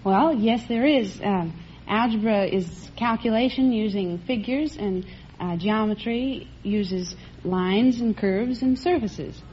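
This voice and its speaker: A woman's voice: medium pitch, slow, warm tone. A young American woman from California politely answering questions as a contestant in an old radio interview. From California, United States. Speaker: contestant, maths teacher. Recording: dull, hissy old radio recording.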